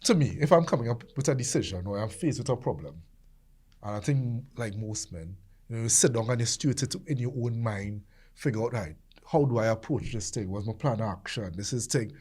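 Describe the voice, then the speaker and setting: A man on a discussion panel who speaks reflectively, thinking through his own decision-making out loud. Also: medium pitch, fairly quick, breathy edge.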